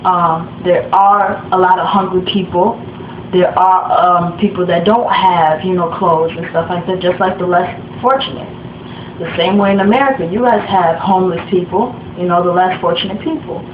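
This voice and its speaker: A young woman from Africa whose first language is Swahili, telling her own story in a soft, halting way with many fillers. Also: lowish voice, fairly even intonation. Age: young adult. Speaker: interviewee. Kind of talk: personal testimony. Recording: echoey room, dull lo-fi sound, noisy background.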